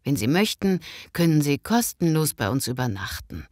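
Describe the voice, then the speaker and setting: A woman's voice: sits low, very animated, moderate pace, darkish tone, dry recording, clean studio sound. A woman playing a kind, composed, courteous hotel manager in a scripted language-lesson dialogue. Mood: kindly, formal.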